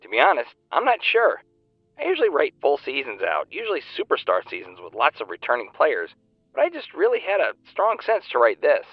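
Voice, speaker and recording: An actor playing an earnest, talkative fan-fiction writer, heard over a phone call in an audio drama. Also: male voice, fairly high pitch, huge pitch swings, fairly quick, husky, no room sound, full character voice. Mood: earnest, reflective. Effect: phone call filter. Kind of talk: naturalistic audio drama acting. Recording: thin, band-limited phone line.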